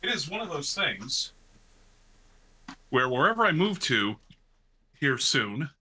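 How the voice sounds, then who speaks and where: Man, radio guy, cooking at home, rambling self-deprecating chat, very bright edgy tone. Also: fairly high pitch, very animated, slow, slightly rough. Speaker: guest cooking along, radio presenter. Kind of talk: casual chat while cooking. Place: home kitchen with gas stove.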